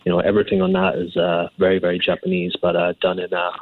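A man's voice: low voice, monotone, quick pace, no echo, narrow, tinny audio. A Scottish man, an executive chef, answering questions conversationally in an interview. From Scotland.

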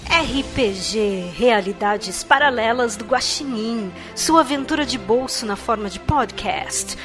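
A woman reading a scripted show introduction for a podcast in a slow, measured announcing voice. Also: a little high. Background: music.

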